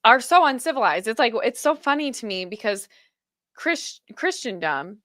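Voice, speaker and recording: A woman's voice: a little high, very animated, normal speed, fairly bright tone, no room sound, studio quality. A woman hosting an interview podcast, asking thoughtful questions and affirming her guest. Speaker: podcast host.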